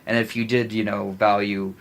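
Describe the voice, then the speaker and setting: An American man chatting casually as a podcast co-host, often halting as he searches for his words. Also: fairly low pitch, level tone, creaky, poor audio.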